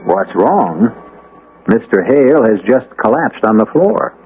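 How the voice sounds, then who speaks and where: A man's voice: fairly low pitch, big pitch swings, unhurried, narrow, tinny audio. Music plays behind a man on a thin old radio broadcast. He narrates as host with wry, dramatic deliberation, his intonation swinging very widely from phrase to phrase. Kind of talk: theatrical scripted radio narration.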